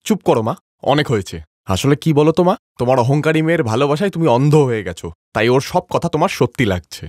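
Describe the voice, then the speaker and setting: A man voices an earnest, emphatic young husband in a cartoon drama. He speaks quickly with very animated intonation. The recording is close and dry. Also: mid-range voice, no echo, lightly acted. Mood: stern. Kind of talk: Bengali cartoon story voice acting.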